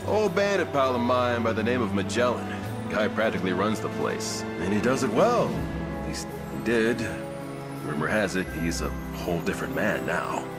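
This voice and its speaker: An actor playing a roguish, loyal ex-bandit in a video game, with slangy, irreverent, wry delivery. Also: male voice, on the low side, sing-song, moderate pace. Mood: rueful, candid. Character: roguish ex-bandit mercenary man, cocky, loyal, plain-spoken. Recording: music behind the voice.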